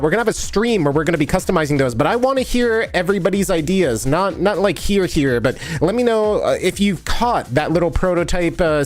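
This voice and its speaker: Sniffly man chatting on a live stream. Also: a little high. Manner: rambling, chatty, reads and answers chat. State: sniffly, recovering from a cold. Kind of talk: casual live-stream chat while editing.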